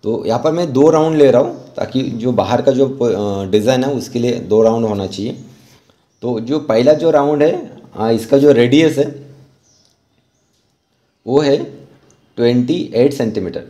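Indian guy doing a casual art how-to, soft dark tone, medium pitch, encouraging. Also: a little slow, pauses often. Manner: instructional, encouraging. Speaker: rangoli art teacher and channel host. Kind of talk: informal step-by-step drawing tutorial.

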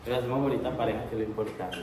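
A man's voice: fairly low pitch, racing, background noise. A young man from Colombia, chatting and joking on a live stream as he shows around his house at night. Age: young adult. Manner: joking, teasing, playful. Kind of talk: casual live-stream chat. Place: house at night, indoors and outdoors.

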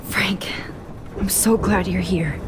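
An actress in a video game playing a sympathetic young American student, speaking in a gentle, hesitant, somber way. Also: female voice, steady tone, slow pace, heavy background noise, natural voice. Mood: relieved. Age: young adult. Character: somber, sympathetic young female student bearing bad news. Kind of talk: dramatic video game acting. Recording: loud rumbling storm ambience behind.

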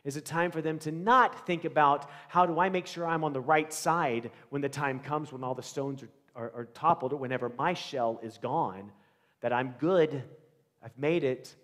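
A middle-aged American man preaching a conversational sermon, with animated storytelling, rhetorical questions and dramatic pauses. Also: on the high side. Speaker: pastor. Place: large church sanctuary, indoors, before a congregation. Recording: reverberant church hall.

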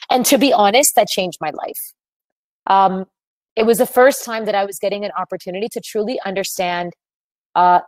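Brief: American woman, thirties, webinar speaker, earnest storyteller, dry close sound, mid pitch. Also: a little mellow, no echo, rough recording. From United States. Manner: earnest, reflective storytelling with frequent fillers. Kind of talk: presenting a webinar talk.

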